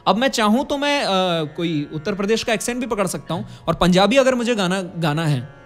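A young man with a high voice and an Indian accent gives a singing and diction lesson. He explains step by step and demonstrates sounds, speaking into a close studio mic with faint music behind him. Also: animated, fairly quick, a bit bright. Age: 20s. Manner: explanatory, demonstrates sounds, checks in with tag questions. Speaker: vocal music teacher. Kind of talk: instructional talk on singing and diction. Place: indoor curtained studio. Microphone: studio condenser microphone close in front.

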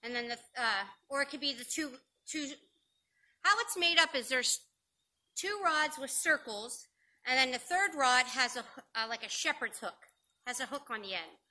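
Bright high-voiced woman explaining outdoors. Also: normal speed. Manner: chatty and homely, explaining step by step with frequent ums. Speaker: host demonstrating open-fire cooking. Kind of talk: casual outdoor cooking demonstration. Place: outdoors in a grassy yard. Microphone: camera on a stand a few meters away.

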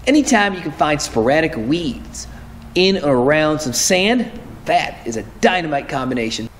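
Upbeat fishing host with a higher voice. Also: male voice, big pitch swings, normal speed. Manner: casual, explanatory, corrects himself mid-sentence. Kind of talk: instructional fishing talk to camera. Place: indoor tackle room with lure-covered wall.

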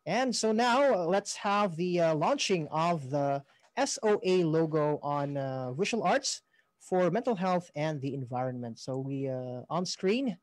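Filipino guy hosting a show, kinda high voice, stops and starts a lot, dry audio. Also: expressive, no room sound. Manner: halting, searching for words. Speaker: host. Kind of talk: informal live program hosting.

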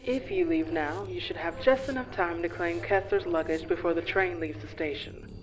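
A woman voicing a composed director giving formal, measured instructions in a comic dub. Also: lowish voice, slight background noise, close to an everyday voice.

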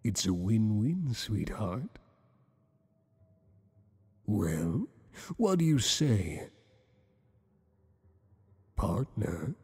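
A man's voice: lowish voice, big pitch swings, mellow, breathy edge, in a large hall, heavily acted. A man voicing a teasing, possessive monster boss. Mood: flirtatious. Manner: teasing, flirtatious, slow and intimate. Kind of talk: ASMR roleplay.

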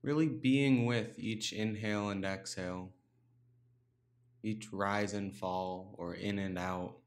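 Man, low-pitched voice, meditation teacher, calm guided narration, unhurried, spacious pauses.

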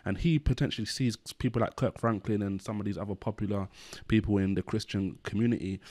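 A man in his thirties speaks to camera in a low register, explaining scripture in an earnest, steady way. He leans on "basically" again and again. The sound is close and dry, with almost no room in it. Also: quick pace, no room sound. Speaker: channel host. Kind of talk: Bible-based commentary on a news story. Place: indoor room, talking to camera.

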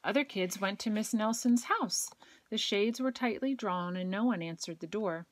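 A woman with a gentle, expressive storytelling manner, reading a children's picture book aloud. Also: mid-range voice, moderate pace. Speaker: educator and child care provider reading aloud.

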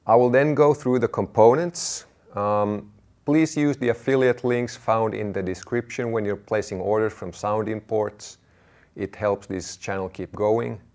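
A man in his thirties with a low-pitched voice walks viewers through a do-it-yourself audio project. He presents to camera indoors, explaining each part plainly and factually. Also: darkish tone. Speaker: DIY audio channel presenter. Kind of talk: product walkthrough to camera.

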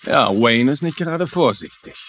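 Sharp-voiced, suspicious crooked lawman, played by a man. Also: medium pitch, animated, moderate pace, a little hiss or hum, natural voice. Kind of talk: dubbed TV mystery dialogue.

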